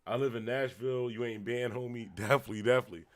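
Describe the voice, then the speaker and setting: A man from Buffalo with a Western New York accent, a little tipsy, rambling loosely as he hosts a late-night livestream and shouts out viewers. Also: mid-range voice, fairly even intonation, racing. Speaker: livestream host. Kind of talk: casual livestream chat reading comments.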